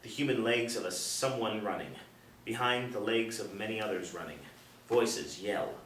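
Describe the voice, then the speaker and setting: A man narrating stage directions at a screenplay table read in measured, dramatic storytelling phrases. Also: on the low side, a bit bright. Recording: echoey room, distant, faint noise.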